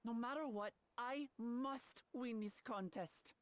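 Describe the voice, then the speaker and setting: A woman's voice: a little high, takes it slowly, dry recording. A woman voicing a spirited young princess in a video game, speaking in an earnest, resolute, plain-spoken way. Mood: earnest, determined. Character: earnest, spirited young princess seeking her father's throne. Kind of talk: video game cutscene acting.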